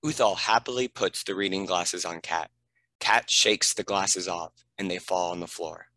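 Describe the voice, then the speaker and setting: A man's voice: deep voice, moderate pace, sharp tone, poor audio. A man reading scripted stage directions as a narrator.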